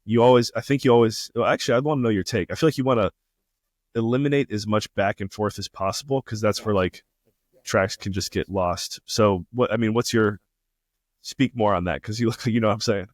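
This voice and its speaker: A man's voice: fairly low pitch, a little fast, dry recording, clean studio sound. A man hosting a podcast, laid-back and chatty, full of fillers and easy laughs. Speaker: podcast host. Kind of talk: casual interview talk. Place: Toronto.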